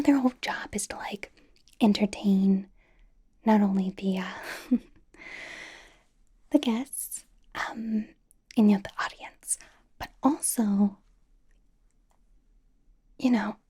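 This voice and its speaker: A woman playing a bubbly, anxious, caring young K-pop idol in an ASMR roleplay, with an American accent, a soft breathy whisper and a chatty, rambling, reassuring way of talking. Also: on the high side. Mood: nervously reassuring. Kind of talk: ASMR personal attention roleplay.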